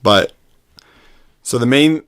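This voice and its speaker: A man's voice: medium pitch, takes it slowly. A young man from the United States, talking casually and conversationally to the camera for his vlog. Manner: relaxed, conversational. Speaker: vlogger.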